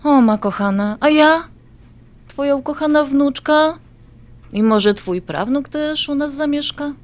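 A woman's voice: high voice, expressive, unhurried. A woman playing an affectionate adult granddaughter in an audio drama, talking in a coaxing, familiar way. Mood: pleading.

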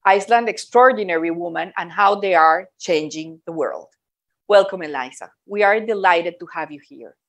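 Female moderator, formal scripted intro, studio setting. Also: medium pitch. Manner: formal, measured. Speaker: host, moderator.

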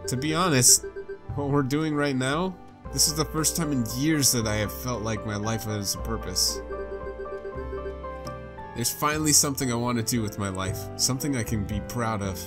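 A man's voice: mid-range voice, normal speed, close to an everyday voice. Man reading as an earnest young soldier. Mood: sincere. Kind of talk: reading visual novel dialogue aloud. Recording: game music behind.

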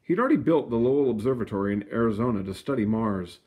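A man reading a children's storybook aloud in an expressive, explanatory way, with casual asides. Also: medium pitch, quick pace. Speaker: storybook reader.

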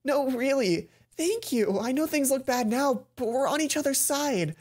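A man's voice: very high-pitched, lively intonation, normal speed, a little mellow, voice pushed up. A man voicing an earnest, loyal teenage schoolgirl friend in a visual novel game. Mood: earnest, reassuring.

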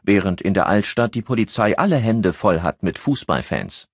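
A man's voice: on the low side, sing-song, moderate pace, husky, no room sound, clean studio sound, pitched above the performer's own voice, full character voice. A man voicing a flippant, sardonic male police colleague with a cheeky, ironic delivery in an audiobook. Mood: sarcastic.

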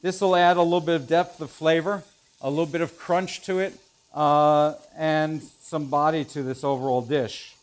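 Man, 40s, chef instructor, relaxed and explanatory, slightly higher voice, pan sizzling behind. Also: normal speed. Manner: relaxed, explanatory, thinking aloud while working. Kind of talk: cooking demonstration. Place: large professional teaching kitchen, indoors. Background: food sizzling in a pan.

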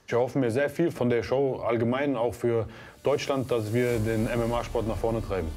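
A thirty-five-year-old man, a fight coach, introducing himself in a brisk, list-like way. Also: mid-range voice, fairly even intonation, darkish tone, faint music behind. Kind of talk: reality show interview.

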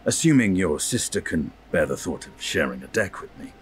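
An actor voicing a grim, proud elf dragoon in a video game cutscene, speaking in stern, formal, measured declamation. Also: male voice, low-pitched, very animated, a little hiss or hum. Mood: grave, dry.

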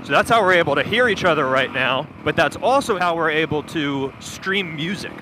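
A man's voice: fairly high pitch, expressive, moderate pace. A man riding a motorcycle, explaining gear features in detail, matter-of-fact, over a helmet intercom. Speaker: video producer reviewing gear. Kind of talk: explanatory casual chat. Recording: intercom audio with wind and engine noise.

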